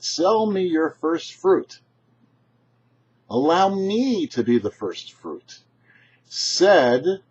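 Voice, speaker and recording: A man, a rabbi hosting a short religious teaching, speaking emphatically and deliberately with dramatic pauses. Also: on the high side, a little mellow, breathy edge. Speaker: rabbi, show host. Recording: muffled, dull lo-fi sound.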